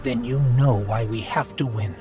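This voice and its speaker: Actor as earnest sci-fi companion over music. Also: male voice, mid-range voice, normal speed, close to an everyday voice. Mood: earnest, resolute. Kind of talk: video game acting. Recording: music behind the voice.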